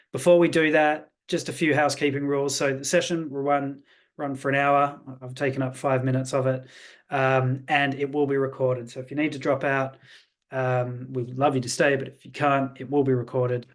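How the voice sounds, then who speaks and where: A man hosting an online information webinar in a polished, salesy way with frequent fillers. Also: medium pitch. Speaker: webinar host, programme representative. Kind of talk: presenting a webinar. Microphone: video call.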